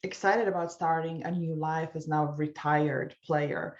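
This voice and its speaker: A woman from Slovenia with a Slovenian accent, a guest talking casually in an interview, chatty and quick to laugh. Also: deep voice, steady tone, a little mellow, muffled recording. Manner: chatty, laughs easily, goes off on tangents. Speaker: guest, life coach.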